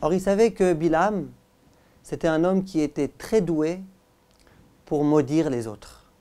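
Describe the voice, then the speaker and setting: A male rabbi giving a religious lesson as a story, with emphatic, deliberate phrasing. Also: on the high side, mellow. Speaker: rabbi, teacher.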